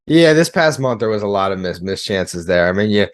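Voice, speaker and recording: A man's voice: lowish voice, expressive, brisk, no room sound. A man in his twenties talking casually and at length about sports as a podcast guest.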